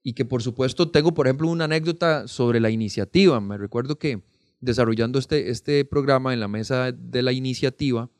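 A Costa Rican man with a medium-pitched voice talks as a podcast guest. He explains in an earnest, conversational way and seeks agreement as he goes. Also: brisk, a little mellow. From Costa Rica. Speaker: podcast guest, foundation programme representative.

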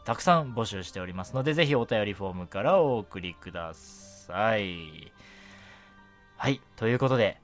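A man hosting a casual, chatty night radio show in a relaxed, gently self-deprecating way. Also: lowish voice, normal speed, soft background music.

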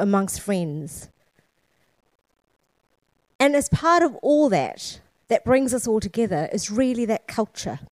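A woman delivers a conference keynote in a mid-pitched voice with very little room sound. She speaks to her audience directly, leaning on repetition and rhetorical questions to press her points. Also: a little mellow, no echo. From born in Sri Lanka, raised in Scotland and New Zealand.

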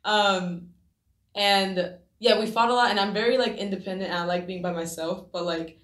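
A woman's voice: medium pitch, fairly even intonation, moderate pace, a bit bright, reverberant. A woman in her twenties from Japan, a podcast guest telling her story in a casual, rambling way with many restarts. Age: 20s.

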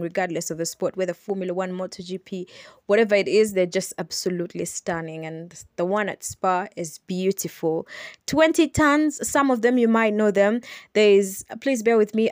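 Woman, Ugandan accent, podcast host, explanatory, unhurried, hesitant fillers, dry close sound. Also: mid-range voice, dry recording. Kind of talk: solo podcast commentary.

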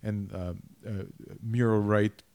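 Halting, thoughtful podcast co-host man. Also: on the low side, steady tone, darkish tone, clean tone. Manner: halting, restarts often, thinking aloud. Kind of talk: casual chat reviewing a miniseries.

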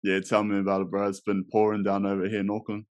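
A man's voice: on the low side, completely flat delivery, fast talker, no room sound. A man hosting an interview in a laid-back way, calling the guest bro and brother and filling gaps with um. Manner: laid-back, calls everyone bro and brother, many fillers. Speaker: podcast host. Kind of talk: casual interview.

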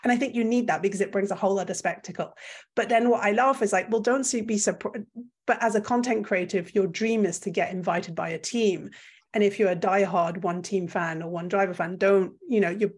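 A woman's voice: medium pitch, steady tone, brisk, slightly rough. A woman whose first language is French, speaking as an opinionated, emphatic guest in a conversational interview, often restarting her sentences. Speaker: guest, content creator.

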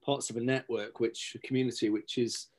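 A man's voice: medium pitch, level tone, fairly quick, a little mellow. A middle-aged man, a guest in a casual interview, talking in rambling sentences with many fillers and restarts. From Scotland-born, raised in Stapleford, England. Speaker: guest, local magazine editor.

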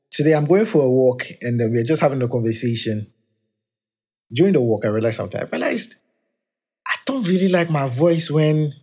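A man hosting a podcast, casually reflecting on faith in a rambling, self-correcting way. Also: slightly rough. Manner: talks of his high-pitched voice. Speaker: podcast host.

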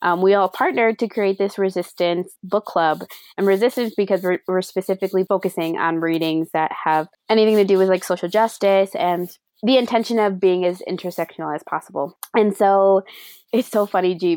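A young woman co-hosting a casual podcast, chatting in a rambling, animated way with lots of fillers and a few Spanish words mixed in. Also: mid-range voice, a little fast, dry recording. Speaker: podcast co-host. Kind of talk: casual chat. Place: lives in Madrid.

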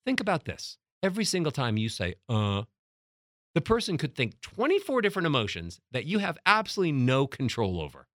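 A middle-aged man teaching as a voice coach, emphatic and demonstrating how to change loudness and pitch. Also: wildly swooping pitch, fairly quick, no room sound, clean studio sound. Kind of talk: motivational teaching talk.

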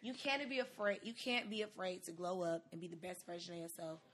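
A woman's voice: on the low side, steady tone, fairly quick, fairly bright tone. A woman in her twenties giving casual, conversational advice in a candid, emphatic way, as a podcast host. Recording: noticeable background noise.

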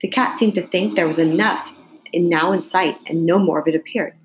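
A woman narrates a children's classic with a slightly low voice. Her reading is expressive and scripted, in the style of storytime. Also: fairly quick, a little hiss or hum, rough recording. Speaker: storybook reader.

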